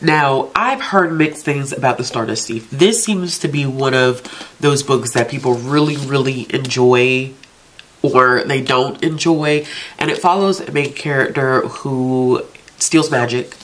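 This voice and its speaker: A woman's voice: rumbling low, moderate pace, a little hiss or hum. A woman with a congested, stuffed-up voice, hosting a casual book vlog and chatting in a self-chiding way about books she means to read. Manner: chatty, self-chiding, talks herself into reading. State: congested from allergies. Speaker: book vlogger, channel host.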